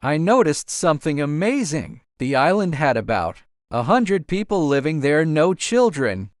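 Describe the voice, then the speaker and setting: A man's voice: on the high side, very animated, moderate pace, dry recording, studio quality. Audiobook villain, read by a man: boastful, immortal schemer, showy, very varied intonation. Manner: gloating, showing off. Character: boastful, greedy male villain who defies death.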